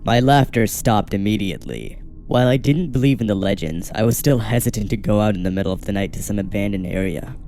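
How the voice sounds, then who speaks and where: A man tells a scripted horror story in the first person, in a steady and measured way. His voice sits fairly low and sounds soft and mellow. Faint music runs quietly behind him. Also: gravelly. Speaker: narrator.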